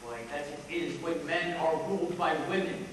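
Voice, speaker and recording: A young male actor plays a bitter, treacherous duke in a Shakespearean stage play. The voice is high and bright, with emphatic, declamatory phrasing. It rings through a very echoey hall, picked up from far off. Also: fairly even intonation, close to an everyday voice. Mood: sly, mocking. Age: young adult. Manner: declamatory, emphatic, deliberate pauses. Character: bitter, scheming, deformed villain, a duke plotting treachery. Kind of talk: theatrical Shakespearean stage acting. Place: high school stage performance, recorded from afar.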